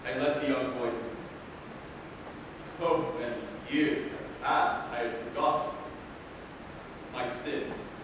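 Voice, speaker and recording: Man acting a slow, haunted penitent. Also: medium pitch, fairly even intonation, close to an everyday voice. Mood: remorseful. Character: remorseful, haunted man confessing his sins. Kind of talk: staged play reading. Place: large echoing room, staged reading. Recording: echoey hall from far, clear noise.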